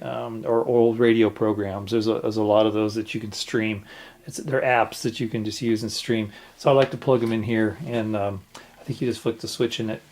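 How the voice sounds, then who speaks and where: A man casually demonstrating old equipment hands-on, explaining in a halting, rambling way. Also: mid-range voice, a little mellow. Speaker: reseller demonstrating a vintage tube radio.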